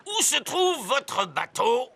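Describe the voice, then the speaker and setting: A man voicing a hearty, teasing old grandfather dog boatman in a cartoon, gravelly and forceful. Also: extremely high pitch, sing-song, slow pace, heavily acted. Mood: businesslike. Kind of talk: cartoon dub acting.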